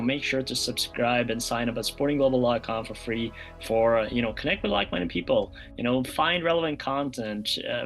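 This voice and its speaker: A mid-pitched male host wrapping up a podcast on a video call. He talks in an upbeat, loose way, with plenty of "you know" fillers. Faint music sits behind his voice. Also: fairly bright tone. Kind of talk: podcast interview.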